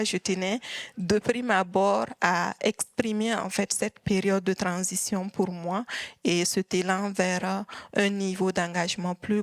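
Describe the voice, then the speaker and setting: A woman in her thirties from the Sahel speaks earnestly and in formal, deliberate wording, giving testimony as an activist on a panel. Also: lowish voice, no room sound. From Sahel region of West Africa. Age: 30s. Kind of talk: public testimony at a panel talk.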